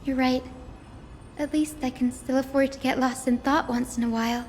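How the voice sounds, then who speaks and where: Woman acting a high-voiced gentle young scholar. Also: fairly even intonation, moderate pace, slight background noise, close to an everyday voice. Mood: wistful. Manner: soft-hearted, reflective. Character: gentle young female scholar, wistful descendant of sky people. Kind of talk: scripted game voice acting. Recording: long reverb, music behind.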